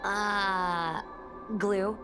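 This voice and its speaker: A woman's voice: mid-range voice, steady tone, very slow, over music. A woman voicing a young, matter-of-fact female companion character in a video game. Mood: exasperated.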